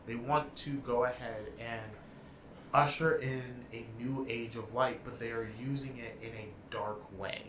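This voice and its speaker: A man's voice: medium pitch, steady tone, a little slow, a crowd in the background. A man co-hosting a casual chat, talking in long rambling stretches with restarts. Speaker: podcast co-host. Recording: roomy with faint ambience.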